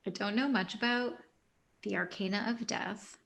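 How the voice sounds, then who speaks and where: A woman casually playing a tabletop game, relaxed and chatty. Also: mid-range voice, moderate pace, gravelly. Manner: casual, laid-back, lots of fillers. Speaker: player.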